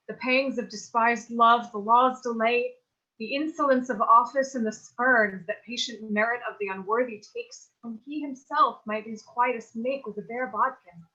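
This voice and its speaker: A sensible, dutiful teenage girl played by a woman speaks theatrical Shakespearean English in a stage reading. The voice is bright and forceful, a little high, heard through slightly muffled lo-fi audio.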